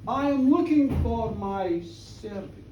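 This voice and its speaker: A storyteller voicing a mocking, sinister gentleman, wildly animated. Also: medium pitch, reverberant, distant mic, dull audio, a little hiss or hum. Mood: aggrieved. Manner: theatrical, taunting, drawn-out words and loud laughter. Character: tall sinister mocking gentleman, gleefully menacing.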